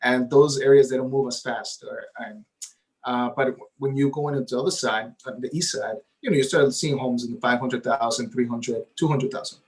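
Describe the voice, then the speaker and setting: A man's voice: medium pitch, steady tone, moderate pace, rough recording. Eager talkative man, native Spanish speaker. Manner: eager and talkative, often adds "right?". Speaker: realtor guest. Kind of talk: casual interview talk.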